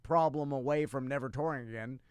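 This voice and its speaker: A man's voice: mid-range voice, fairly quick, clean tone, no echo, clean studio sound. An American man in his forties chatting casually as a co-host of a talk show. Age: 40s. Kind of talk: casual chat.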